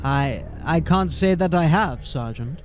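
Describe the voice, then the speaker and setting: An actor playing a formal, polished watch captain in an audio drama, crisp and measured. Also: male voice, fairly high pitch, lively intonation, soft background music. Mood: composed, formal.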